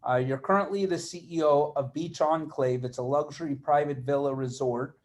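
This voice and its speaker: A man's voice: on the high side, steady tone, moderate pace, darkish tone. A man hosting an interview, reading an introduction and then asking friendly questions. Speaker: interview host.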